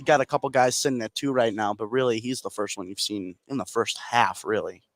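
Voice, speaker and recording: A man's voice: lowish voice, a little fast, crowd noise. A man working as a color commentator on a basketball broadcast, giving his opinions in an informal, conversational way. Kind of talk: conversational sports analysis.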